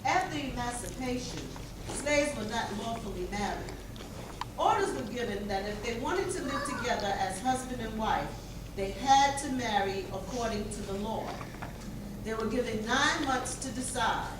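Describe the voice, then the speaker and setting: A woman's voice: a little high, lively intonation, natural voice. A woman playing a formerly enslaved narrator, reciting solemnly and formally in a readers theater performance. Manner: formal, deliberate. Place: church hall. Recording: distant mic, echoey hall, clear background noise.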